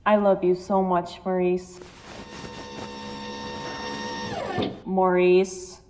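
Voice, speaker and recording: A woman's voice: fairly low pitch, completely flat delivery, slow pace. A woman playing a loving wife in an audio drama. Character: loving wife of a haunted household. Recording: noisy track with clicks.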